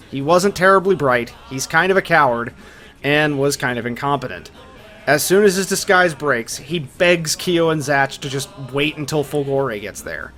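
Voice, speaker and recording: A man's voice: fairly high pitch, a bit bright. A man narrating a scripted video essay as its host, in a casual, conversational way with colloquial asides. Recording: music behind the voice. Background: music.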